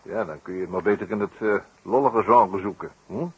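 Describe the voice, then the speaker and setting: An actor playing an urbane, teasing detective in a 1960s radio play, with pompous, ironic, wordy phrasing. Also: male voice, on the low side, fairly quick, breathy edge, lo-fi sound. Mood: polite, musing. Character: wry male amateur detective, urbane, teasing, inquisitive. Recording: noisy vintage radio play recording.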